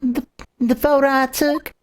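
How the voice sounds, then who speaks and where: Woman as a high-pitched, flustered young witness. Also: flat delivery, a little hiss or hum. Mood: flustered, defensive. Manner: halting, defensive. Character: excitable, flustered young female trial witness. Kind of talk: dramatic video game voicing.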